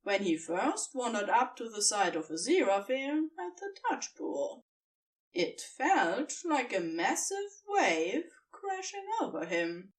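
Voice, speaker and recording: A woman narrating fan fiction like an audiobook, with deliberate, dramatic phrasing and emphatic pauses. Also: fairly high pitch, big pitch swings, far from the mic.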